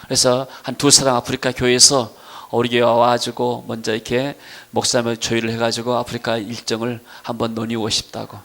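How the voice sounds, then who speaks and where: A middle-aged man with a Jeolla accent from Gwangju preaches a sermon with personal testimony, swinging between emphatic declarations and quieter storytelling. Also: medium pitch, monotone, fairly quick, hardly any pauses. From Gwangju region, South Korea. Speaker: pastor preaching.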